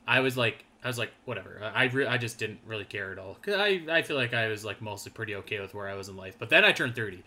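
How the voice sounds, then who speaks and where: Thirtysomething guy on a gaming podcast, bright tense voice, rambly and laid-back. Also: lowish voice, big pitch swings, quick pace, hardly any pauses. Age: 30s. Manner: rambling, laid-back, lots of fillers and restarts. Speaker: podcast co-host. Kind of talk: casual gaming podcast chat.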